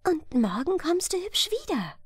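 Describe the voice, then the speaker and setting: Storybook nixie children, played by a woman: high-pitched, friendly, coaxing, lilting. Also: sing-song, unhurried, no room sound, studio quality, full character voice. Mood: gently coaxing. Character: friendly water nixie children, gently urging a girl. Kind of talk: storybook narration.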